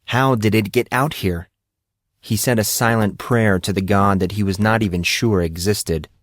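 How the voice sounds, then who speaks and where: A man's voice: fairly low pitch, normal speed, dry recording, studio quality. A man narrating a scripted story as an audiobook narrator.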